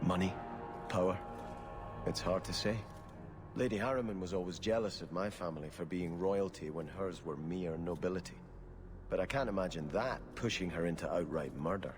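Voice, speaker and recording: A man's voice: deep voice, moderate pace, background noise, natural voice. A man playing an earnest, courteous exiled prince in a fantasy video game, speaking formally. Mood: troubled, earnest.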